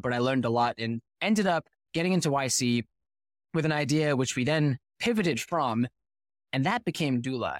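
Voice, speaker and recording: A man in his thirties who grew up in Boston, a podcast guest and company founder telling his story in a fluent, animated way with rhetorical questions. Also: mid-range voice, no room sound. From United States (Boston). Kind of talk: casual interview storytelling.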